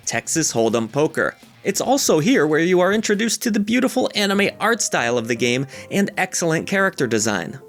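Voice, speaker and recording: A man reading a scripted video game review as its narrator, over background music. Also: on the high side.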